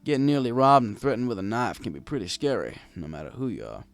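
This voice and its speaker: A man's voice: mid-range voice, big pitch swings, fast. A man reading a first-person story in a wry, conversational storytelling manner. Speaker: fan fiction reader.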